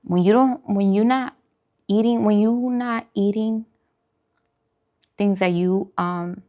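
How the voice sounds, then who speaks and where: A woman with a medium-pitched voice talks casually as she eats, in the manner of a food vlogger. Her delivery is informal and musing, like relaxed conversation with whoever is watching. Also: takes it slowly, dull audio. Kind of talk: casual mukbang chat while eating.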